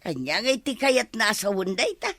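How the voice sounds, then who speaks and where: A woman's voice: mid-range voice, sing-song, fairly quick, a bit bright, no room sound, studio quality. A woman playing a fussy, skeptical older village woman in a comedy radio drama, querulous and full of questions. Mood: puzzled.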